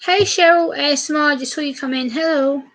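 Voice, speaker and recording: A woman from the UK chatting fast and animatedly on a casual live stream, co-hosting and reading out viewer comments. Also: high voice, a bit bright. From United Kingdom.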